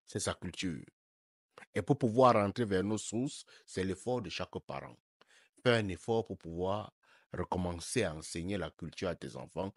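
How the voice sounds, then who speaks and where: A man's voice: mid-range voice, no room sound. Emphatic man, dry sound. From Africa. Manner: emphatic, preaching, full of rhetorical questions. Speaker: life coach. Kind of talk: opinion monologue to camera.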